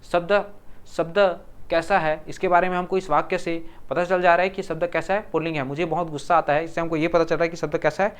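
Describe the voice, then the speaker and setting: Clear-voiced Hindi teacher from Chhattisgarh. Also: male voice, a little high, little pitch movement, a little fast. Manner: repeats words and asks the class to confirm. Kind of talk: exam-prep grammar lesson.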